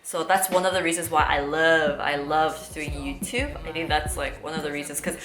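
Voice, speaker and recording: A woman's voice: low-pitched, moderate pace, fairly bright tone. A woman, chatting casually and rambling in an unedited Q&A vlog while cutting fruit. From South Korea and Los Angeles. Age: 30s. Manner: chatty, rambling, often switching into Korean words. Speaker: YouTuber host. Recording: roomy echo with faint background music.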